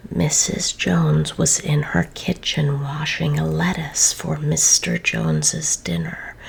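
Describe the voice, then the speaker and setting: A woman reading a children's story aloud in a soft, gentle whisper. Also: sits low, gravelly, slightly rough.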